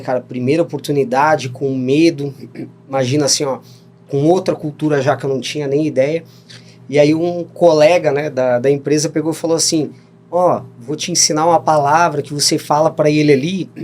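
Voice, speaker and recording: A man's voice: fairly high pitch, a little mellow. Brazilian man in his thirties, talkative. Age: 30s. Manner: rambling, frequent "né?" tags, laughs while telling stories. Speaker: podcast guest. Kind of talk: casual storytelling interview.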